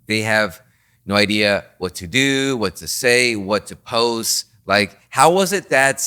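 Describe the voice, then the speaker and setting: A man hosting a podcast, hyped and encouraging, cheering his guest on with quick interjections. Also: on the low side, expressive, bright, forceful tone, clean tone. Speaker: podcast host.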